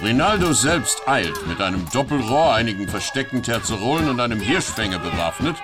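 A man's voice: medium pitch, very animated, brisk, music in the background, close to an everyday voice. A man playing a wry live reporter who hosts a spoof radio play, mixing English into his narration.

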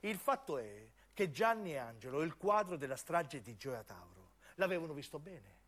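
A man narrates as a stage actor, in intense, deliberate phrases of dramatic radio storytelling. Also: fairly high pitch, big pitch swings, fairly bright tone. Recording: piano music under the voice. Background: piano and keyboard music.

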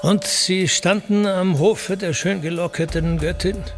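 Greek hero telling his sea wanderings, really slow n solemn, gravelly, radio verse, faint music under it. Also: high voice, steady tone. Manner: measured, deliberate, declamatory, with pauses. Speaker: actor reciting as narrator. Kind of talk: solemn recitation of classical epic verse for radio.

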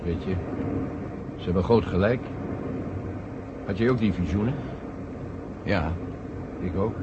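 Loud noise nearly crowds a muffled recording. An earnest mission colleague speaks in a low, slow, deliberate voice in an old radio play. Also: male voice. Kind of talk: 1970 radio drama acting. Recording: very noisy, muffled, car-like background.

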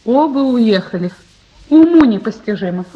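A woman's voice: on the high side, animated, normal speed, lo-fi sound, natural voice. An actress playing a concerned mother in a radio play. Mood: worried.

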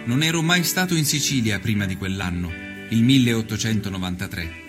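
A man performing an earnest nineteenth-century Italian journalist who recounts his travels in a measured, solemn, literary radio monologue. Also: on the low side, animated, over music, lightly acted. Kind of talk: scripted dramatic narration, radio storytelling.

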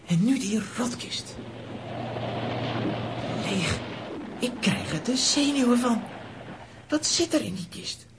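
Plucky, animated young boy hero. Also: a little high, slow, long pauses, noisy background. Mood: frustrated. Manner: determined, dramatic, exclamatory. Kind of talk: children's radio play acting.

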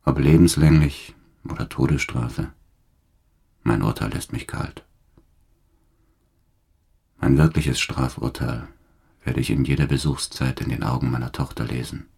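An actor playing a hardened, embittered career criminal who makes grave, defiant declarations in a radio drama monologue. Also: male voice, rumbling low, steady tone, a little slow, long pauses, a little mellow, heavily acted. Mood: resolute, cold. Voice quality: gravelly, husky.

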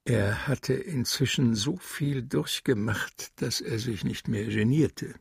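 A man with a rough, husky voice narrating a literary radio drama. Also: medium pitch, slow pace, dry recording, clean studio sound.

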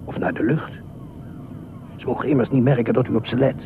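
An actor in a Dutch radio play voicing a fussy, anxious, meddlesome beach regular who speaks formally and insistently. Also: male voice, mid-range voice, steady tone, a little fast, gravelly, narrow, tinny audio, close to an everyday voice. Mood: urgent, secretive. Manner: formal, insistent, probing questions. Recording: noisy, thin band-limited old radio recording.

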